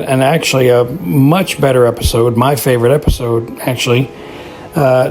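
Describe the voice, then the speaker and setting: A man giving casual spoken feedback to a podcast, rambling and halting in a conversational way. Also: medium pitch, a little mellow. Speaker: podcast listener sending audio feedback.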